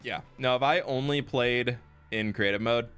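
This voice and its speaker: A man's voice: mid-range voice, normal speed, fairly bright tone, clear, resonant tone, soft background music. A man casually chatting with his chat while building in a game, sounding a bit stuffed up. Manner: laid-back, reads chat and answers between building. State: stuffed-up nose. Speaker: Minecraft streamer.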